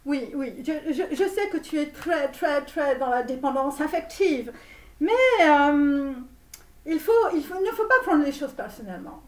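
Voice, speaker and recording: A high-voiced, pushy fortune teller with a Romanian accent, put on by a woman, in a parody online tarot reading. She repeats herself insistently and theatrically, falsely reassuring, heard from a distance in an echoey room. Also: animated. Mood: musing, preachy. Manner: repetitive, halting, theatrical, insistent. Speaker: online tarot reader hosting a channel. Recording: roomy echo, distant microphone.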